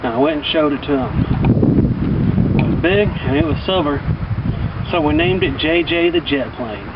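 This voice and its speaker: Man telling stories over loud background noise. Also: on the high side, moderate pace. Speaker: vlogger telling personal stories. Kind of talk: casual rambling storytelling. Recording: distant mic, muffled, loud outdoor traffic-like noise.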